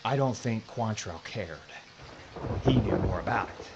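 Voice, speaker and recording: A man's voice: lowish voice, animated, measured pace, gravelly, lo-fi sound. Jumpy reluctant gunslinger, a guy acting it, folksy drawl, noisy recording. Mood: weary. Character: reluctant gunfighter who says he is a regular guy. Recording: noisy audio drama recording.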